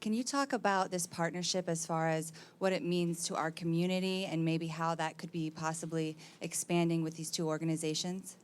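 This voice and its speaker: A woman asks a question from the floor of a press conference as a TV reporter. Her voice sits fairly low and she is crisp and direct. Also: steady tone, moderate pace, hardly any pauses.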